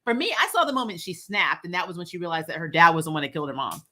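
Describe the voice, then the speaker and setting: A woman's voice: lowish voice, big pitch swings, rapid-fire, sharp tone. A woman casually chatting in a joking film review, sarcastic and blunt, often giggling as she talks. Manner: giggly, laughs often while talking; sarcastic, blunt, salty language. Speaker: movie review show host.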